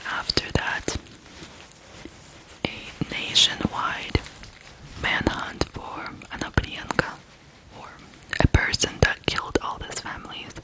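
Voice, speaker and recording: An ASMR host from Ukraine telling a true crime story in a hushed, deliberate whisper. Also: poor audio.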